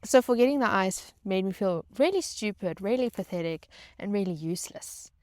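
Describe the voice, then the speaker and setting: A woman's voice: medium pitch, animated, normal speed, a little mellow, no room sound, studio quality. A woman telling a casual comedic personal story to viewers in a dramatic, self-mocking way, repeating phrases for emphasis. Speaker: storytelling vlogger and former yacht crew member.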